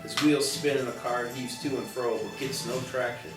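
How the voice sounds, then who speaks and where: A man narrates screenplay action in scripted prose. His mid-pitched voice sounds distant and roomy, with music clearly playing behind him. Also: steady tone, moderate pace. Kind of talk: scripted narration at a table read. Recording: echoey, distant mic, loud background music.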